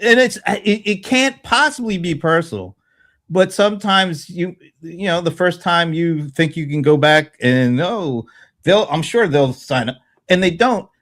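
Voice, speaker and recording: A man in his fifties in casual panel chat, thinking aloud in a halting way and repeating words. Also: fairly high pitch, a bit bright. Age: 50s. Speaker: panelist on a small business show.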